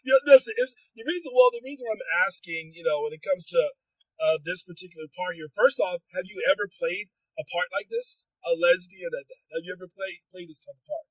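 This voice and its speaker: A man's voice: high voice, brisk, husky, no room sound, low-quality recording. A man hosting a podcast, asking long rambling questions in a casual interview. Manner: chatty, rambling questions, laughs often. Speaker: podcast host.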